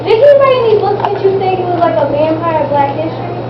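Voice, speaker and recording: A woman's voice: very high voice, fast talker. A teenage girl playing a sassy, sarcastic student in an amateur stage play. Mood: sarcastic, cocky. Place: echoey room at a live public performance. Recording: echoey, distant, muffled, noisy room recording.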